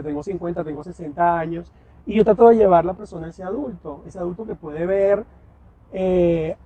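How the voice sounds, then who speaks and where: A man, a guest therapist on a podcast, explaining his ideas slowly and reflectively and often checking in with "right?". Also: high-pitched. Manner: reflective, explains slowly with many "¿verdad?" tags. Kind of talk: podcast interview. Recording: dull lo-fi sound with faint noise.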